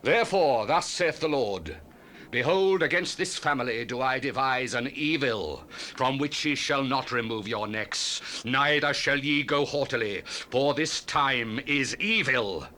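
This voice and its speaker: A man's voice: medium pitch, unhurried, pushed and bright. A man playing an impassioned Old Testament prophet of doom in a solemn, declamatory, theatrical scripture reading on a 1970s cassette dramatization. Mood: grave, accusing. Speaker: dramatized Bible reader.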